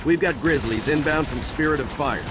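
An actor playing a calm, commanding starship captain in a video game cutscene. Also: male voice, a little high, moderate pace, noisy background, close to an everyday voice. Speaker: ship captain. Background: music.

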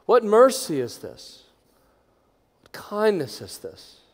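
A middle-aged man, a pastor leading a congregation in prayer from a lectern, moving between hushed, near-whispered phrases and strong, raised proclamation. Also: high voice, wildly swooping pitch, takes it slowly. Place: large church sanctuary. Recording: long church reverb.

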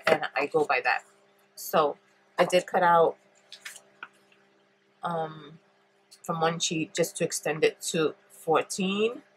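A woman's voice: sits low, slight background noise, poor audio. A woman with a congested, stuffy voice, chatting her way through a paper craft demonstration at her table, thinking aloud with frequent ums. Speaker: paper craft tutorial host. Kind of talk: relaxed step-by-step craft demonstration.

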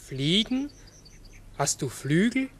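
An actor playing a sad, gentle giant talking raven in a 1960s radio drama, halting, wondering and solemn. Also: male voice, sits high, sing-song, exaggerated voice. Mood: puzzled, hesitant. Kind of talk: 1960s German-language radio drama acting.

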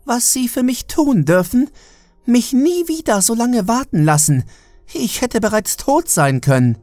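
A man's voice: very high voice, sing-song, measured pace, darkish tone, no echo, faint music behind, pitched above the performer's own voice, exaggerated voice. A man voicing a haughty, forgetful and demanding old lady patient in a horror audiobook, with an imperious, complaining manner. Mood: indignant.

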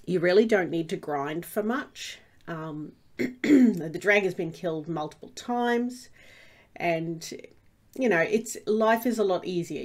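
A woman with a stuffy cold, rambling through casual commentary over her Minecraft gameplay with lots of fillers and restarts. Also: fairly low pitch. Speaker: Minecraft let's play host.

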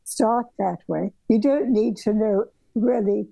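An older woman from southern Africa reminisces over a video call with a mellow, slightly gravelly voice. She wanders through her stories with many ums and restarts, and the sound is dry and close. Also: medium pitch, slow pace, no room sound. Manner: meandering, with frequent restarts and ums. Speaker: guest architect. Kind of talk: reflective conversational storytelling. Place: home bedroom workroom, indoors.

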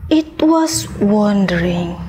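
A woman narrating a scripted children's story as a puppet show storyteller, in a deliberate manner. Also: medium pitch, expressive, warm tone, breathy edge, soft background music. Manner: slow, deliberate storytelling with repeated phrases drawn out.

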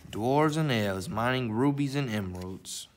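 A man's voice: medium pitch, animated, measured pace, a little hiss or hum. A man hosting a library story time, presenting to children in the manner of a read-aloud and simple how-to.

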